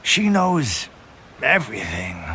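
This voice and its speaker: Lazy soldier, drowsy, unhurried, very slow, faint noise behind. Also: male voice, medium pitch, very animated, bright, forceful tone, full character voice. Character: lazy sleepy male soldier, keeps to himself.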